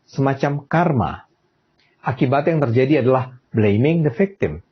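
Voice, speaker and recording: Husky-voiced male commentator, measured and explanatory, muffled low-fidelity clip. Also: very animated. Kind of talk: scripted commentary. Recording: dull lo-fi clip.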